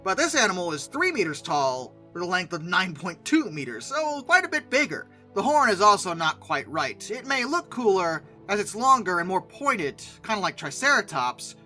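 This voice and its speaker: A video narrator with a bright, forward voice that swings widely in pitch. The delivery is casual commentary, conversational and wry, full of playful emphasis and asides. Music plays behind the voice. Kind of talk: casual scripted commentary rating film dinosaurs. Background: background music.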